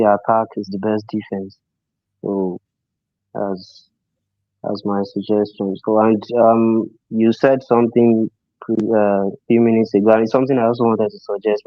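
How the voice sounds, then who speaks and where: A low, flat-voiced man speaks through a dull, lo-fi call line. He is earnest and halting, pausing on fillers, and has a cough. The sound is dry and close. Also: no room sound. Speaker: caller. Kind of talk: call-in suggestion. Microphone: phone or call-in line.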